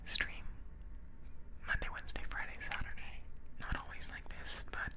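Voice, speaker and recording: A man whispering softly and breathily, chatting gently to viewers as an ASMR streamer. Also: moderate pace.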